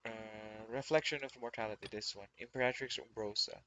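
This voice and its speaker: A man's voice: mid-range voice, brisk, fairly bright tone, a little hiss or hum. A man giving casual, playful, chatty commentary as he plays a video game. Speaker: gaming vlogger. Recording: game music faintly behind.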